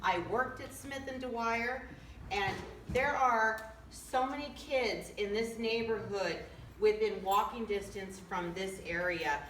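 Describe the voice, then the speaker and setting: A woman's voice: fairly high pitch, a bit bright. A middle-aged woman, a local resident, giving an earnest, pleading public comment at a community meeting. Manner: earnest, pleading, slow emphatic stresses. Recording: echoey hall, voice from far off, faint noise.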